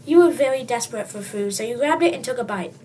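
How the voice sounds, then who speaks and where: A high, youthful voice reading a comic story aloud in a dramatic, tongue-in-cheek way. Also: animated, fast, a little mellow. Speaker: storybook reader. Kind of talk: comic read-aloud narration in second person. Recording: distant mic, faint background noise.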